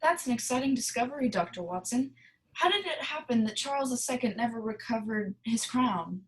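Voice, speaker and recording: A woman playing a bright, polished male radio announcer with smooth patter, in 1930s-style radio announcing. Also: fairly high pitch, expressive, brisk, close to an everyday voice, poor audio.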